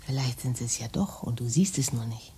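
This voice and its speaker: An actress playing a wry, hungover wife in a radio play, speaking in a dry, sardonic manner. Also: female voice, rumbling low, animated, brisk, dark tone, slightly rough, full character voice. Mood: dry, irritated. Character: wry, hungover wife teasing her anxious husband. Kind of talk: naturalistic German radio play dialogue.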